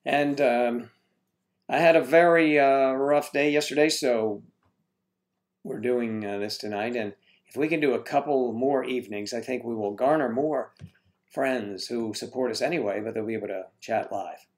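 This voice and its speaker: A man chats his way through a casual livestream, greeting viewers one after another. He rambles and trips over unfamiliar names. His voice sits at a middle pitch. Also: normal speed.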